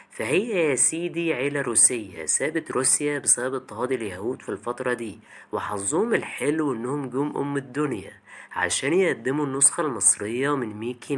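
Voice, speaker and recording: A man's voice: mid-range voice, fairly bright tone. A young man with an Egyptian Arabic accent narrates a scripted video essay in a colloquial, chatty way, addressing the listener directly.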